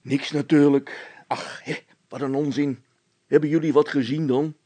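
A man voicing a gruff village constable in a children's audiobook, blustering and dismissive but secretly timid. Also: a little high, fairly even intonation, measured pace, warm tone, no echo, voice pushed up. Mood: impatient.

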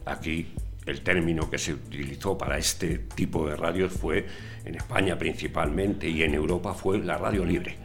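Older man with a very low voice. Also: fairly even intonation, soft background music. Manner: unhurried, hesitant with many fillers. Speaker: interviewee, community radio veteran. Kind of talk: radio interview answers.

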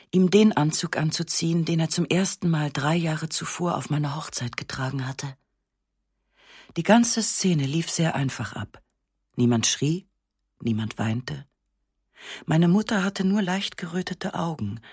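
A woman reading a literary first-person story in a sober, measured, matter-of-fact way. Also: low voice, slightly rough, no room sound, studio quality. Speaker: narrator.

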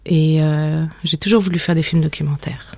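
A woman in her thirties from Switzerland and Denmark, a documentary filmmaker giving a radio interview in a calm, firm, plain-spoken way. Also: deep voice, moderate pace, gravelly, no echo. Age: 30s.

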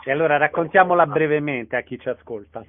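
Higher-voiced male interviewer, phone-like sound. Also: fast talker. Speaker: radio interviewer. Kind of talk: casual spoken interview. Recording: narrow phone-like radio sound.

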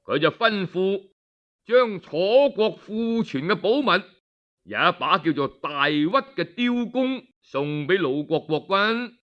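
A man with a Cantonese accent telling a historical tale in an expressive, colloquial oral storytelling style. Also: high voice, takes it slowly, highs cut off. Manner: expressive, colloquial, acts out dialogue. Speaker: storyteller narrator.

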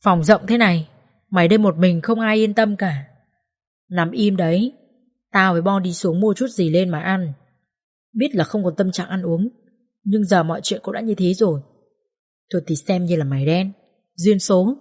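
A woman's voice: on the low side, moderate pace, long pauses, darkish tone, no echo, studio quality, close to an everyday voice. A fiery young woman acted out by a female storyteller speaks bluntly and emphatically. She is coarse and bossy but fiercely loyal, in the manner of audio-story drama. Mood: bossy, caring.

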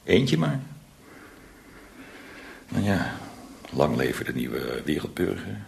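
A deep, heavily creaky remorseful alcoholic in a radio drama. He confesses haltingly and colloquially, downcast, with faint noise behind him. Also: very animated, exaggerated voice. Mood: rueful, ashamed. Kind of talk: Dutch radio play acting.

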